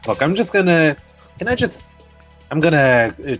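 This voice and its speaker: A young man talks casually over clearly audible music. His pitch is animated, and he is blunt and slangy, doubling back on his own phrases. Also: normal speed. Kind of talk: casual voice-chat on a game stream. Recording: music behind the voice.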